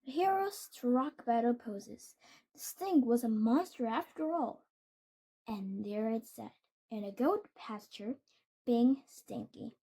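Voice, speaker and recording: A woman reading a children's storybook aloud in a deliberate way, with small stumbles and corrections. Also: on the high side, expressive, warm tone, husky. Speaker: read-aloud host.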